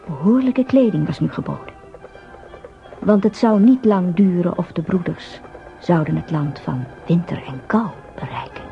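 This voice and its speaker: A woman's voice: lowish voice, lively intonation, normal speed, vocal fry, over music. A woman narrating a scripted fairy-tale radio play as its storyteller. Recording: dull radio recording.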